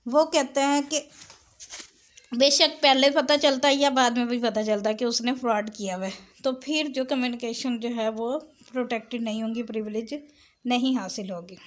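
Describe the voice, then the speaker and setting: Female law lecturer, Pakistani accent, higher-pitched voice, reads legal text and explains it in a bilingual teaching style. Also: steady tone, moderate pace. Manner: switches between Urdu and English.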